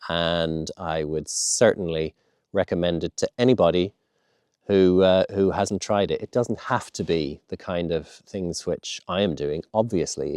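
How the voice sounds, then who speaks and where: A man talking reflectively to camera as an outdoor vlogger, earnest and measured, sounding slightly nasal and congested with a head cold. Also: low-pitched, a little mellow, dry recording, clean studio sound.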